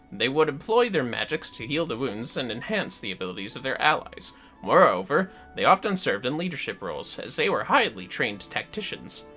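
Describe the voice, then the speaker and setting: A man's voice: fairly high pitch, sharp tone. A man voicing a formal, learned fantasy game scholar, reading dialogue theatrically in archaic wording.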